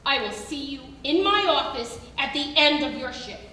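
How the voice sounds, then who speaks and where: A woman's voice: high-pitched, lively intonation, normal speed. A woman playing a stern, authoritative boss in a staged reading, reprimanding sharply. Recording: very echoey room, distant mic, faint noise.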